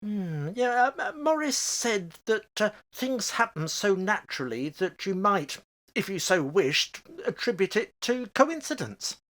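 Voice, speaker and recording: A man playing an anxious, excitable older father in an amateur radio horror play, with a theatrical, halting delivery. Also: sits high, heavily acted. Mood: breezy, musing.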